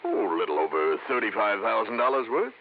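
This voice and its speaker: An actor playing a shady, sneering American real estate promoter in an old-time radio drama. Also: male voice, on the low side, sing-song, brisk, creaky, slight background noise. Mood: defiant, sneering. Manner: brash, sneering. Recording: tinny, band-limited vintage radio recording.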